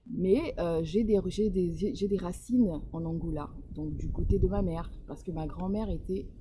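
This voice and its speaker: A woman author from Congo-Brazzaville answers interview questions in a hesitant, searching way, often restarting her sentences. Her voice sits a little low for a woman. A clear hiss of background noise runs under the recording. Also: normal speed, dark tone. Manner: hesitant, many fillers and restarts. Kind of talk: casual interview. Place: home in northern Portugal.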